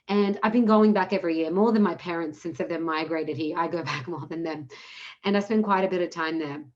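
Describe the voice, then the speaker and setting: Earnest woman on a dull video call. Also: fairly low pitch. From Australia. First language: Punjabi. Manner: earnest, fast-flowing with frequent self-corrections. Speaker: founder presenting her program. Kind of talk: online talk presentation.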